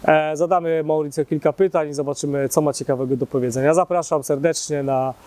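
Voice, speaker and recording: Male interviewer, fairly high pitch, hesitant, filler-heavy. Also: fairly even intonation, quick pace, a little mellow, no echo. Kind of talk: interview questions.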